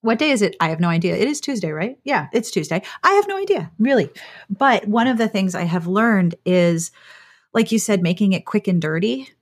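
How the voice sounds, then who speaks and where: A woman's voice: mid-range voice, expressive, brisk. A woman hosting a casual interview podcast, chatting in a self-deprecating, jokey way and acting out little exchanges with herself, recorded close on a microphone. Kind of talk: casual conversational interview chat. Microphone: close microphone inside a foam-lined box.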